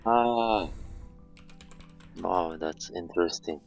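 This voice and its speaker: A man's voice: sits low, drawn-out words, soft background music. A man from Morocco, speaking English as a guest in a casual chat and searching for words. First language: Amazigh.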